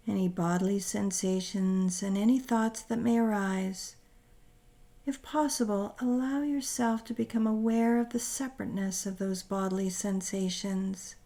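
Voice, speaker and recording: A woman narrating a scripted guided meditation in a soothing, gentle, deliberate manner with pauses. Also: mid-range voice.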